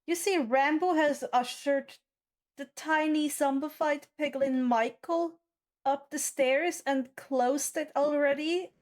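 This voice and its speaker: A woman's voice: high-pitched, slow pace. A woman running a tabletop roleplaying game as game master, narrating scenes and giving rulings to the players.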